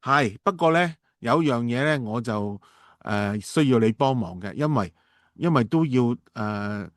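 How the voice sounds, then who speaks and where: A man's voice: medium pitch, expressive, a little slow, dry recording, lightly acted. A man acting a hesitant, persuasive businessman, dry recording. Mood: pressing, eager. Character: smooth-talking businessman asking a partner for urgent money. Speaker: radio drama actor. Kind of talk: amateur Cantonese radio drama dialogue.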